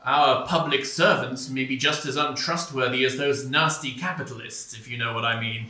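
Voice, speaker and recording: An actor as a pompous, bright-voiced male pundit. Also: mid-range voice, steady tone, moderate pace, lightly acted. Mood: smugly lecturing. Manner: pompous, hesitating orator. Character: pompous, smug conservative male intellectual pundit. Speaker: actor in a stage play. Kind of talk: satirical stage play acting of a TV debate. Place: small stone-walled theatre stage. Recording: roomy stage sound, distant mic.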